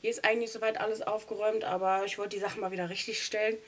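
A woman's voice: mid-range voice, level tone, rapid-fire. A young woman vlogging as she tidies a small storage room at home, explaining each step in a chatty way and laughing often, recorded by a wide-angle camera on a stand. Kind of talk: casual clean-with-me narration.

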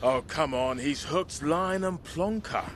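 A man's voice: on the high side, takes it slowly, background noise. An actor playing a cocky, wisecracking survivor in a video game, a British man with glib, breezy bravado. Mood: coaxing. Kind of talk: video game cutscene acting.

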